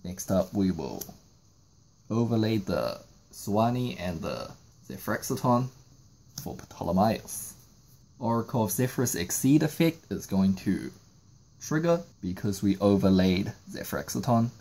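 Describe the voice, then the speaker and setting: A man's voice: on the low side, big pitch swings, a little slow, gravelly, dark tone. A man narrating a step-by-step card game tutorial, explaining each move in sequence. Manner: explanatory, walking through moves in sequence. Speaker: trading card game YouTuber.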